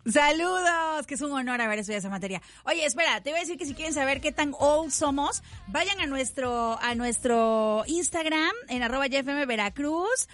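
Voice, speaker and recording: High-voiced Mexican woman radio host. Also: expressive, moderate pace, few pauses, a bit bright. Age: 30s. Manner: playful, laughing, mock-offended. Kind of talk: teasing morning radio banter.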